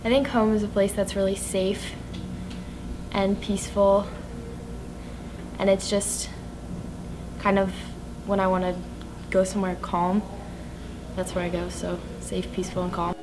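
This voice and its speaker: A teenage girl speaks softly and calmly. Her voice stays even, without much rise and fall. Clear room ambience is heard around her. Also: medium pitch, a little slow, long pauses, noisy background. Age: teens. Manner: calm, soft-spoken.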